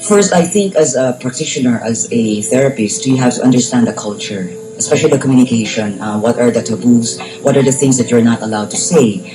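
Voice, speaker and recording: A woman's voice: extremely low pitch, brisk, hardly any pauses, poor audio. A woman from the Philippines, a life coach and therapist answering radio interview questions, explaining at length with fillers and bits of Tagalog. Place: radio studio. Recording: music playing underneath.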